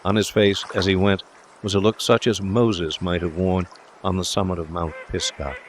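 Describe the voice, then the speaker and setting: A man's voice: deep voice, little pitch movement, moderate pace, a little hiss or hum. A man reading a story aloud as an audiobook narrator, in scripted narration.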